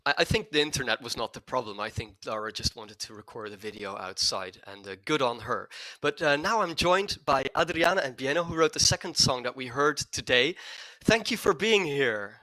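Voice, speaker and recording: A man's voice: medium pitch, bright, forceful tone. A man hosting an online interview, friendly, with frequent restarts. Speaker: host.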